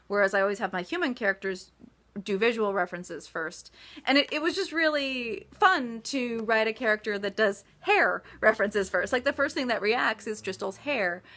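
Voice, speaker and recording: A woman's voice: fairly high pitch, lively intonation, moderate pace, bright, forceful tone. A woman author answering reader questions in a casual, chatty livestream style with emphatic asides.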